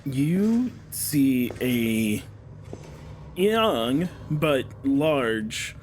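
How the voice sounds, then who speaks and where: A man's voice: medium pitch, very animated, drawn-out words, a little mellow, a little hiss or hum. A man running a tabletop game, narrating a scene in an easygoing way with plenty of fillers. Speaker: game master. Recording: clear background music.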